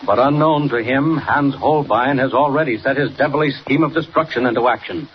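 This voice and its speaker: A man's voice: mid-range voice, fairly quick. A man narrating a radio serial as announcer, in a melodramatic, suspenseful 1940s style. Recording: muffled, noisy 1940s radio recording.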